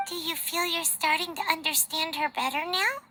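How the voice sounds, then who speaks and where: A woman voicing a small, childlike fairy companion in a video game, casual, curious and expressive. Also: extremely high pitch, normal speed, background noise. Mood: friendly, curious. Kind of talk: video game character dialogue.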